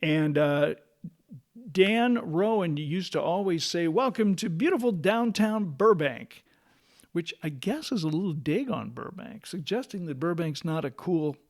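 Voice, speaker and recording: A man's voice: on the high side, lively intonation, a little slow. A middle-aged or older American man co-hosting a casual, nostalgic talk show with a bantering, conversational manner. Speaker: podcast co-host.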